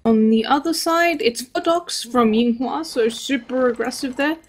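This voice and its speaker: Higher-voiced woman casting a game match. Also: moderate pace, soft background music. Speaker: esports match caster. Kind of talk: live game commentary.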